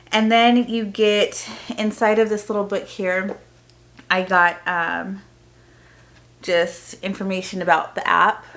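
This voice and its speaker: A woman's voice: medium pitch, fairly bright tone. A woman chatting informally to her viewers as a homeschooling parent vlogger, explaining things as she shows them in a casual unboxing style.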